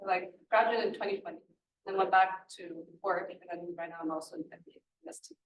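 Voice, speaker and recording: A woman's voice: medium pitch, fast. A woman from Shanghai, a student competitor, introducing herself in a chatty way. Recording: distant echoey room, faint noise.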